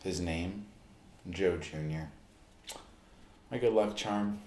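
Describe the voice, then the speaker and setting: Deep-voiced man doing ASMR roleplay. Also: slow, a little mellow, echoey room. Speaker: ASMR performer playing a basketball recovery expert. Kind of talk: ASMR roleplay, talking to the listener.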